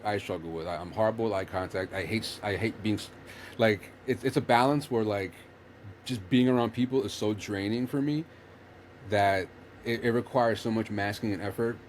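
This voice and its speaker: A man's voice: fairly low pitch, brisk, darkish tone, a little hiss or hum. A man hosting a casual chat, explaining earnestly while rambling and restarting his sentences.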